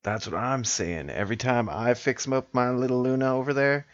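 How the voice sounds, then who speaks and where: A man's voice: medium pitch, moderate pace, no room sound. A man taking part in a tabletop roleplaying game, improvising casually in character, on a slightly dull lo-fi recording.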